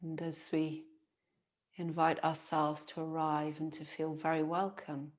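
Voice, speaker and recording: A woman, teaching yoga nidra as a guided relaxation in a soothing, gentle manner with long pauses. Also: low voice, monotone.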